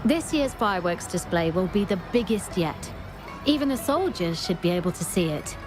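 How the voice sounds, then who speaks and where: Woman as female festival vendor, polite, welcoming, explanatory, medium pitch, game dialogue, clear background music. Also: expressive, normal speed, natural voice. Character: courteous female fan-painting vendor at a festival. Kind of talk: scripted video game voice acting. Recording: music playing behind the voice.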